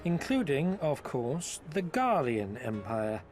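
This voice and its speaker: A silky, flowery merchant agent. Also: male voice, on the high side, big pitch swings, takes it slowly, darkish tone. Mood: explaining. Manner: theatrical, flowery and ingratiating. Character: smooth-talking foppish male merchant agent. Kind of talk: video game cutscene. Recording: with background music.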